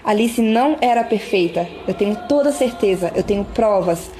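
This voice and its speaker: A woman's voice: mid-range voice, animated, normal speed, warm tone, soft background music, close to an everyday voice. A woman playing an emphatic, wronged young wife in an amateur radio drama. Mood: firm, aggrieved. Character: young wronged wife, insecure turned defiant.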